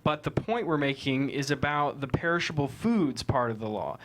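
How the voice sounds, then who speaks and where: A man's voice: medium pitch, sing-song, moderate pace, background noise, lightly acted. A man playing a probing, argumentative defense lawyer with methodical questioning in a courtroom drama table read. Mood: explaining.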